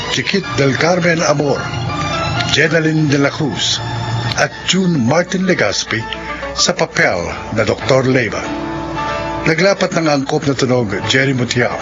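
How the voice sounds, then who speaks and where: A man's voice: medium pitch, expressive, a little slow. A man announcing a radio drama in formal scripted narration, reading a moral and credits. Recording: dull radio recording under loud music.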